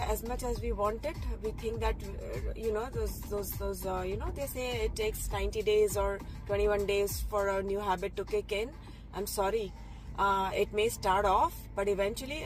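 A woman's voice: mid-range voice, low-quality recording. A middle-aged woman giving a reflective motivational talk from inside a car, with many restarts and repeated phrases. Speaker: vlogger. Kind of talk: motivational talk while driving. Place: inside a parked or moving car. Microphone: phone camera close, angled up from below.

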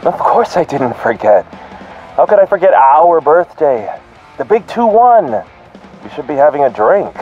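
An actor playing a wheedling, mocking and menacing young brother in an audio drama. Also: male voice, on the high side, sing-song, measured pace, phone-quality sound, soft background music. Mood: coaxing. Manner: coaxing, mocking.

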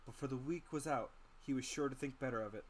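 A man's voice: medium pitch, fast, a little hiss or hum. A man narrating a classic adventure novel as a dramatic audiobook reading.

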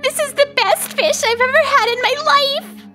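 High, bright, brash tomboy teen. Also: female voice, very animated, normal speed, heavily acted. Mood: delighted. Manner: brash, blunt, easily flustered. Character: brash tomboy teenage girl fighter, secretly girlish and shy. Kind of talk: English-dubbed manga romcom acting. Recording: music behind the voice.

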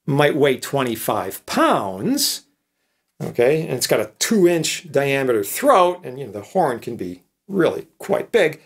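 Man, sixties, mid-range pitch, informal teacher, conversational explaining, frequent tag questions. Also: slightly rough. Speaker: professor, lecturer. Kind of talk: informal explanatory electronics lecture.

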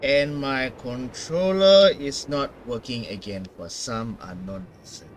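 Slow-talking male gamer over background noise. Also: medium pitch. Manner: rambling, repeats himself. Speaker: gaming streamer. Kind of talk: casual playthrough commentary.

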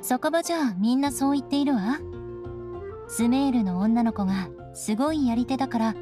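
Actress as a high-voiced, poised young lady knight. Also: normal speed, over music, natural voice. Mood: poised, friendly. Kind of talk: Japanese anime game voice acting.

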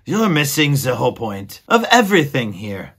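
A man's voice: medium pitch, big pitch swings, normal speed, a bit bright, slightly rough, voice pushed up, heavily acted. A man voicing an exasperated young male mouse who bosses his brother around, in a raised, impatient tone. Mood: scolding. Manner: impatient, scolding.

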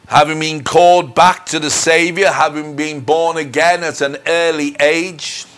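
A middle-aged man preaches his personal testimony in a fairly high voice for a man. He speaks very slowly and deliberately, with earnest, measured phrasing. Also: fairly bright tone. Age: middle-aged or older. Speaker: minister. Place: indoors in front of a dark curtain. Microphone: lapel mic.

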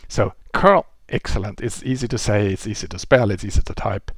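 Swedish-accented man lecturing, husky and dry. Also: lowish voice, fairly quick, no echo. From Sweden. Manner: relaxed explaining, with frequent restarts and fillers. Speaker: presenter. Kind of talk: technical lecture. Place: indoor room. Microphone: headset.

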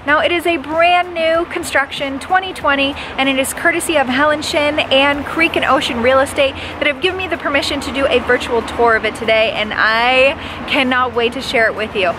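A young woman with a bright, high voice giving a gushing walkthrough of a house for sale. She talks to a camera held at arm's length, and a faint room hum sits behind her. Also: fairly even intonation, brisk, few pauses. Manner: bubbly, enthusiastic, gushing. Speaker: real estate agent. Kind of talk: upbeat house tour vlog. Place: inside a large empty house. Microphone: handheld camera at arm's length.

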